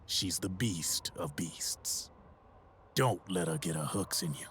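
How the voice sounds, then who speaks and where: An actor playing a grave older man who solemnly and deliberately recounts a war tragedy in a video game cutscene. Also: low voice, a bit bright, a little hiss or hum. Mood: grave, urging.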